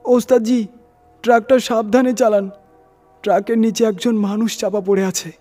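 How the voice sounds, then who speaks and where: A man's voice: high-pitched, fairly even intonation, normal speed, dark tone, faint music behind, voice pushed up, close to an everyday voice. A man voicing a frightened, superstitious young truck hand who speaks urgently and deferentially to his boss in a horror audio story. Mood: alarmed. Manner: alarmed, urgent, addressing his boss respectfully.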